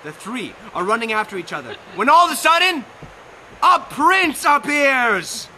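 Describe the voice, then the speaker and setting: A man's voice: very high voice, sing-song, sharp tone. A young man telling a bedtime story as a live theatrical performance, dramatic and playful, drawing out and repeating phrases. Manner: dramatic, drawn-out, playful repetition. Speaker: narrator. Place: outdoors on a lawn. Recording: noisy outdoor amateur live recording.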